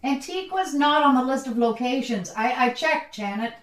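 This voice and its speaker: A woman playing an excitable, practical camera operator in a radio play, talking in a casual, emphatic way. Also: high-pitched, moderate pace. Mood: hesitant, resigned. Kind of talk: radio play, naturalistic dialogue.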